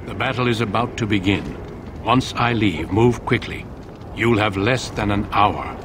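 An actor playing a grave, commanding middle-aged warrior mentor in a video game, speaking in a solemn, measured way. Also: male voice, lowish voice, noisy background, close to an everyday voice. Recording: loud outdoor game ambience behind.

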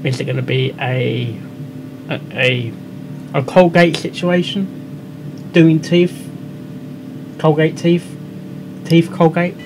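A young man with an English accent giving casual, halting, rambling reaction commentary as the host of a video. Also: fairly high pitch, level tone, noisy background. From England. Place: indoor room at a computer. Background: music.

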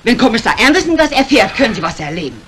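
An actress playing a bossy, agitated woman, scolding briskly and urgently in a 1950s German radio crime drama. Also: a little high, very animated, a bit bright. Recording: old radio play recording, faint hiss.

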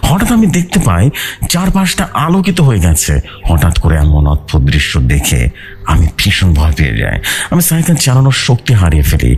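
Man, horror story narrator, lowish pitch, very animated intonation. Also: husky. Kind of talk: scripted first-person ghost story narration.

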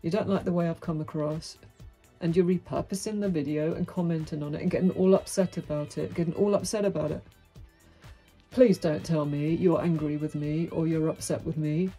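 A middle-aged Englishwoman with a deep, husky, mellow voice talks to camera in a small, dim indoor room. She is frank and outspoken, with a dry, wry edge. Faint music plays behind her. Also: steady tone, brisk. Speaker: vlogger. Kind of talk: candid monologue to camera.

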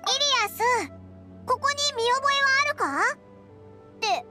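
A woman's voice: extremely high pitch, sing-song, measured pace, loud music behind the voice, exaggerated voice. A woman voicing a small, cheeky, childlike sprite in a Japanese game, with blunt boyish speech. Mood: puzzled.

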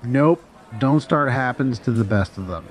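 A man's voice: mid-range voice, animated, unhurried, natural voice. A man playing a jaded, dismissive spacer chatting bluntly and offhand in an audio drama. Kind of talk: casual dialogue in an audio drama.

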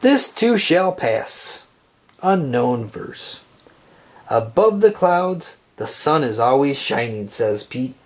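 A man reading a children's picture book aloud, phrasing it deliberately and expressively for young listeners. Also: mid-range voice, very animated.

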